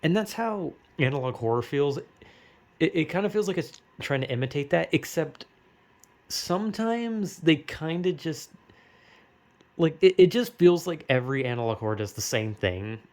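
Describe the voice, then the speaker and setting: A man's voice: fairly high pitch, lively intonation, normal speed, darkish tone. Rambling, casual young American man. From United States. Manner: rambling, slangy, heavily profane. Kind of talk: casual rambling opinion commentary.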